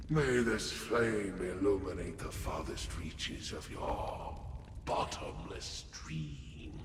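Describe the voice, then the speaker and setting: Very slow, gravelly, fatalistic warrior. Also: male voice, heavily acted. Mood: fervent, grave. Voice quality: gravelly, breathy low voice. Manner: slow, solemn, theatrical delivery. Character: grave, fatalistic male warrior devoted to destruction. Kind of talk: dramatic game cutscene acting. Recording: noisy, echoey, long reverb.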